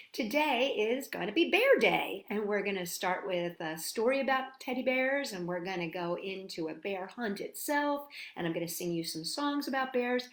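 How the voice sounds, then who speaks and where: A woman's voice: mid-range voice, fairly bright tone. A woman hosting a storytime for small children, talking and singing action songs in a sing-song, encouraging way. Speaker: children's storytime host and singer. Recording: roomy echo, music behind.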